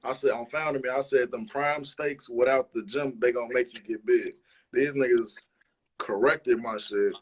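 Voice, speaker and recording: A man's voice: medium pitch, fairly even intonation, normal speed, husky. A man chats casually as an interview guest, using heavy slang and profanity and repeating himself for emphasis. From Chicago, United States. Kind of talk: casual chat.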